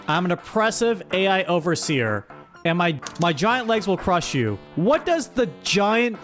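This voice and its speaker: A woman hosting a casual livestream, playful and mock-dramatic as she reads out and comments on a story. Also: mid-range voice. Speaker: streamer, host. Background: music.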